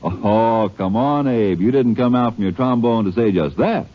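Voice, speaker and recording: An American man with a husky voice announcing a 1950s radio advertisement in scripted, jokey patter. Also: lowish voice, normal speed. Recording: muffled vintage radio recording.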